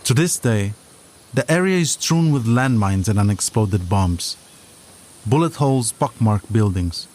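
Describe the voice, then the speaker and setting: A man's voice: fairly low pitch, lively intonation, unhurried, a little mellow, slight background noise. A man narrating a scripted audio documentary as its host.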